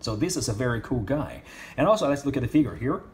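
Man, toy reviewer, casual review, mellow tone, non-native phrasing. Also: mid-range voice, very animated, quick pace.

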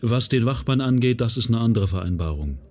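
A man's voice: mid-range voice, animated, brisk, darkish tone, natural voice. A man playing an affable, easygoing small-time hustler in a fan audio drama. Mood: friendly.

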